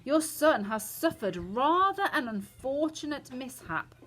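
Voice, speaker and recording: A woman voicing an old grandmother in a storybook, patient but firm and affectionate, with measured, emphatic phrasing. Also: high-pitched, very animated, a bit bright, voice pushed up, exaggerated voice. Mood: patient, insistent. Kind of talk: storybook character voice.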